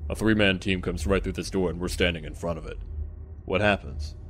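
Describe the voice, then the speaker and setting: A very low-voiced alien soldier played by a man, skeptical and dryly musing. He leads with hypothetical questions in a sci-fi audio drama, over faint background noise. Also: fairly even intonation, a little fast. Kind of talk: amateur audio drama acting.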